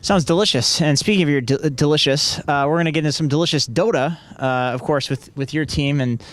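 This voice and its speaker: A man casually interviewing a player, playful and halting with lots of filler words. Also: on the high side.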